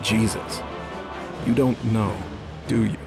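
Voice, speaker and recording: An actor playing an irritated, sarcastic death deity in an audio drama, exasperated and foul-mouthed, with music behind him. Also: male voice, lowish voice, fairly even intonation, slow pace, creaky. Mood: tense, exasperated. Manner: exasperated, sardonic.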